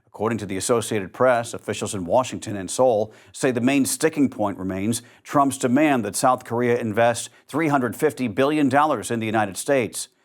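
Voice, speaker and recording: A man reading scripted news as the anchor, in a measured, even newsreader delivery. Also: medium pitch.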